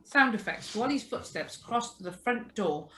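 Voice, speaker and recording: A woman's voice: a little high, expressive, normal speed, bright, forceful tone. A woman reading stage directions and sound cues from a script in clipped announcements.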